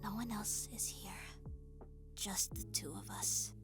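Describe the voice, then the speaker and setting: A woman voicing a shy, nerdy young goblin woman in a romantic audio roleplay, hesitant, stammering and soft-spoken. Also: medium pitch, very animated, takes it slowly. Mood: shy, easing. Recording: music behind the voice. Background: soft music.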